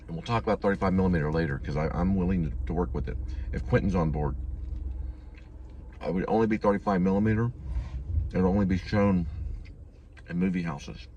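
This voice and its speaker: A man's voice: low voice, a little mellow, slight background noise. A man from Texas chatting casually as a vlogger, musing in a dry, wandering way. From Texas, United States. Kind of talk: casual rambling vlog chat.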